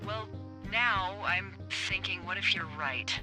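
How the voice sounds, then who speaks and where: An American actress playing a blunt, suspicious fire lookout in a video game, with an emphatic, natural conversational delivery. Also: female voice, medium pitch, slow pace, lightly acted. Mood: conceding, uncertain. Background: faint background music.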